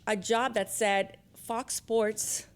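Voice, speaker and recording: A woman's voice: mid-range voice, fairly even intonation, takes it slowly. Female talk show guest from Los Angeles, mid-range voice, casual and anecdotal, TV presenter background. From born in Costa Rica, grew up in Los Angeles. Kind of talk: casual chat, telling career anecdotes.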